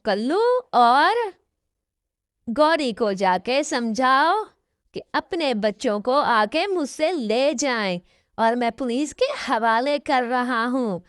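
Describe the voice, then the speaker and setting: Woman as a stern high-voiced scolding male parrot. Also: expressive, slow pace, no room sound, studio quality. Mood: stern threatening. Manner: scolding, threatening.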